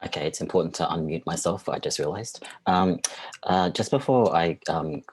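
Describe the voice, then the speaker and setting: A man's voice: deep voice, moderate pace, a little mellow, breathy edge. A man from Australia, an author on a video call panel, opening his remarks hesitantly with many ums.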